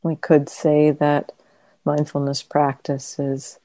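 A woman guides a meditation in a low, soft-toned voice. She speaks very slowly and gently, leaving long pauses between short phrases. The sound is close and dry. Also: completely flat delivery, no room sound. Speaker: meditation teacher. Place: meditation center.

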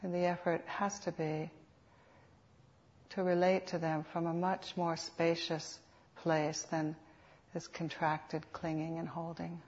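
A woman teaching guided meditation in an unhurried, soothing manner with reflective pauses, on a dull lo-fi recording. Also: fairly low pitch, flat delivery, darkish tone. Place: meditation center. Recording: dull, lo-fi live talk recording.

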